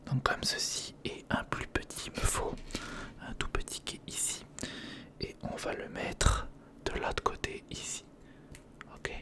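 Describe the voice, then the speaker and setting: Guy whispering asmr right up on the mic, breathy, chill informal step by step walkthrough, kinda reassuring. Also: a little slow, long pauses. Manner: informal, reassuring, talks listener through each step. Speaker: ASMR video creator. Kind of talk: whispered ASMR build-along. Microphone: close sensitive recorder microphone.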